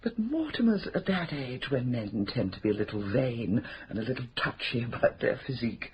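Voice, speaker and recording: An actress playing a prim, mischievous, scheming spinster who runs a charity, in a radio drama. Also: female voice, a bass voice, very animated, brisk, heavily acted. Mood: discreet. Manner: arch, coy, prim and mischievous. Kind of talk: 1970s radio drama acting. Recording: dull slightly noisy radio drama recording.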